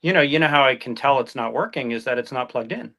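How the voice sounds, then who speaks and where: A man's voice: medium pitch, quick pace, pushed and bright. A man speaking on his phone as a guest co-host, trading wry, sarcastic banter in a casual chat.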